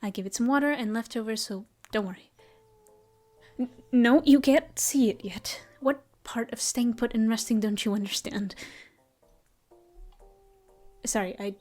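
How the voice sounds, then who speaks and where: A woman voicing a gentle elf ranger. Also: a little high, moderate pace, soft background music, natural voice. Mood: tender. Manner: soft, soothing, often whispered. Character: gentle female elf ranger, caring and shy. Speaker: voice actress. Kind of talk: audio roleplay, comfort ASMR.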